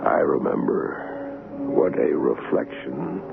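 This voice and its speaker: Lively-pitched doctor narrator, old radio. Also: male voice, slow, gravelly, heavily acted. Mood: reflective. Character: sceptical male ship's doctor telling his sea story. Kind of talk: 1970s radio drama acting and first-person narration. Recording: tinny old radio broadcast with music.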